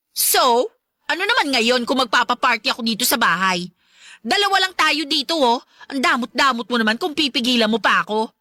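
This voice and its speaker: A spiteful Filipino stepmonster in a radio drama. Her high, bright voice swings widely as she condescends and taunts with theatrical sweetness. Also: no room sound, studio quality, full character voice. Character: spiteful, brazen stepmonster girlfriend of a widowed father.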